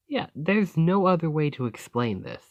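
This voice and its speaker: A man's voice: on the high side, lively intonation, a little slow, creaky, no room sound. A man playing a roguish bandit leader in an ASMR roleplay, teasing and grudgingly impressed, with folksy turns of phrase. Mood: amused, wary. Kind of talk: ASMR roleplay acting, one-sided dialogue.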